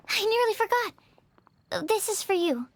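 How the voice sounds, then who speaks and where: A woman voicing a shy, kind young researcher in a video game, speaking in a gentle, earnest way. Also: very high voice, lively intonation, takes it slowly, very noisy, full character voice.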